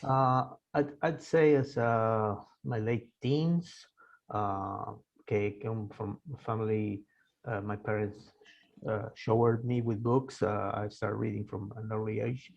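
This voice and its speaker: A man in his sixties from Argentina, a native Spanish speaker, answering interview questions in a halting way with many fillers and restarts. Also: fairly low pitch, steady tone, gravelly, lo-fi sound. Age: 60s. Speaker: guest author. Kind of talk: casual interview.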